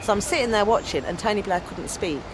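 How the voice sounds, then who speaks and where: A woman's voice: mid-range voice, quick pace, noisy background. An English woman with a big, strong voice, telling stories in a casual outdoor interview. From England. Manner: loud, strong voice with lively storytelling. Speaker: interviewee, activist and storyteller.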